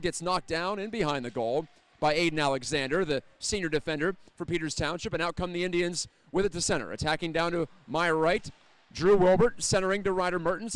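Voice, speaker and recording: A man's voice: fairly high pitch, clear, resonant tone, no echo. An American man doing live play-by-play hockey commentary, describing the action in detail as it unfolds.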